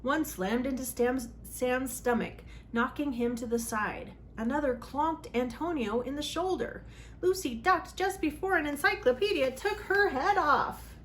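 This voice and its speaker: Woman doing storytime for kids, highish voice, expressive and dramatic, bit of background noise. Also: measured pace. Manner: expressive, dramatic emphasis on sound words. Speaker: children's library read-aloud host. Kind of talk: animated storybook read-aloud for children.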